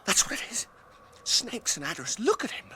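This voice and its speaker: Fretful hobbit servant, grumbly and plain spoken, very up and down, radio play. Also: male voice, very high voice, wildly swooping pitch, moderate pace, bright, forceful tone, whispered, heavily acted. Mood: wary, indignant. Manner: rustic, blunt, self-scolding. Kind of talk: radio drama acting.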